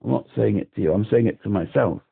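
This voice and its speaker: A man's voice: on the low side, fast, gravelly, breathy edge. A man from Britain calling a helpline, talking in a rambling, agitated way and repeating himself over a phone line. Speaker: caller. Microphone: telephone.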